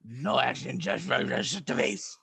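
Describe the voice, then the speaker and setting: A man voicing a garbled comic narrator who reads halting, repetitive descriptions in broken speech, mixing up and dropping sounds. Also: medium pitch, expressive, vocal fry, sharp tone, husky, heavily acted. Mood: halting, clownish. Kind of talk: comic dramatic reading played for laughs.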